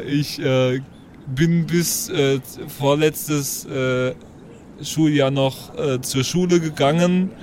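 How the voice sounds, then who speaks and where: A man's voice: on the high side, slight background noise. A man playing a cocky, dim sixteen-year-old petty thief in a tabletop game, hesitant and full of swaggering slang.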